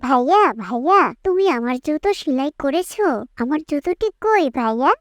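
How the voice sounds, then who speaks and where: A woman's voice: high voice, lively intonation, moderate pace, no room sound. A woman voicing a little village girl, a pleading, whiny younger sister in a cartoon moral story. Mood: eager, pleading. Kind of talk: animated moral story dialogue.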